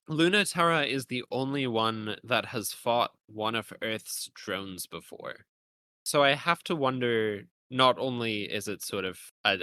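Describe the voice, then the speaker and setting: A man's voice: on the low side, bright, forceful tone, no echo. A man hosting a podcast in an analytical, conversational style, thoughtfully and somewhat hesitantly feeling out his ideas as he speaks. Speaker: podcast host. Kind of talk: conversational analytical discussion of a visual novel.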